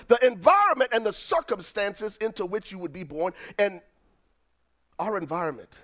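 A middle-aged man with a Liberian accent, preaching a sermon as pastor, swinging from near-shouts down to low, quiet lines. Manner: preaching with dramatic swings, rising to shouts then dropping low.

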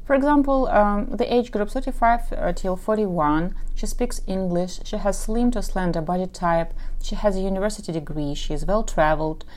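A woman's voice: mid-range voice, normal speed, few pauses, a little mellow. Ukrainian-accented woman giving dating advice. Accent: Ukrainian Russian-speaking. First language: Russian. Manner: advice-giving, lists examples at length. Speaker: matchmaker and dating coach. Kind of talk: podcast monologue.

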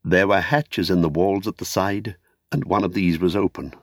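Low-voiced male storybook narrator, dry sound. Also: steady tone, dry recording. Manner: measured, storytelling delivery. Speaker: audiobook narrator. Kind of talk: scripted literary narration.

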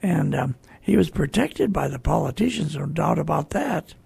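A talk show host with a very raspy, gravelly voice, speaking off the cuff in a halting, deliberate, earnest way. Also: medium pitch, expressive, a little mellow, no room sound. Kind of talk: unscripted talk show commentary.

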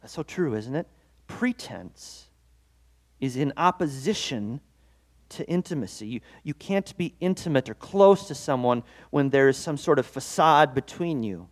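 A man's voice: mid-range voice, lively intonation, measured pace. A man preaching a devotional sermon as pastor, earnest and conversational, with self-deprecating asides. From Norwegian-American heritage.

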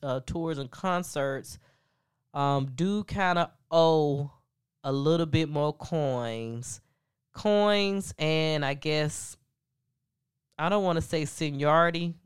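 A woman hosting a casual gossip podcast, with a deep voice and a stuffed-up nose, talking frankly with lots of ums and asides to her listeners. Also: clear, resonant tone. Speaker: podcast host. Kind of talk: casual celebrity gossip commentary.

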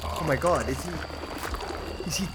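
A man's voice: fairly high pitch, moderate pace, natural voice. An actor playing a bewildered, hesitant everyman initiate in a comic horror audio drama, stammering nervously. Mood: alarmed. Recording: night insect ambience, clear noise.

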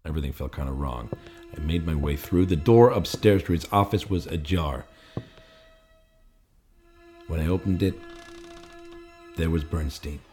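A man's voice: extremely low pitch, moderate pace, pauses often, dark tone, faint music behind. A man playing a confused, suspicious amnesiac in a film noir audio drama, terse and agitated, both narrating and speaking in scenes. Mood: tense, bewildered. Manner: terse, agitated, muttering to himself.

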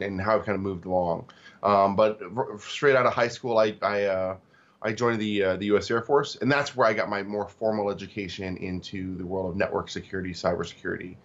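Male guest, forties, lowish voice, stop-start delivery, dull lo-fi recording. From Tacoma, Washington, US. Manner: halting, many fillers and restarts. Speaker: guest, cybersecurity executive. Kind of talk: interview conversation.

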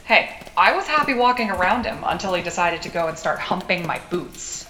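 A woman's voice: fairly low pitch, lively intonation, brisk, lightly acted. A woman playing a tough, inquisitive character in a cyberpunk audio drama, talking in a wry, teasing, sardonic way. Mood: teasing, thoughtful. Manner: sardonic, probing, playful. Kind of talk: naturalistic audio drama acting. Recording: echoey sewer-like space, background noise.

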